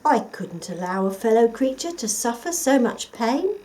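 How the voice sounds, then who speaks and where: A woman's voice: fairly high pitch, sing-song, normal speed, a little mellow, pitched above the performer's own voice, rough recording. A woman voicing a sly male fox in a storybook, coaxing and falsely innocent as he schemes. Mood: falsely kind.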